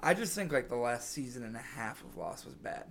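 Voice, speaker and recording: Gravelly-voiced man, sick and scratchy. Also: medium pitch, animated, fairly quick, a bit bright. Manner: rambling, lots of "like" and restarts. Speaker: podcast co-host. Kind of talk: casual chat.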